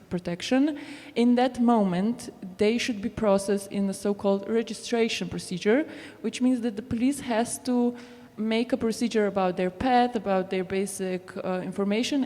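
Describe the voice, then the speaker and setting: Woman doing a conference panel talk, explains stuff step by step, big echoey hall, medium pitch. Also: darkish tone. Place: indoor conference venue. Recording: echoey hall with long reverb.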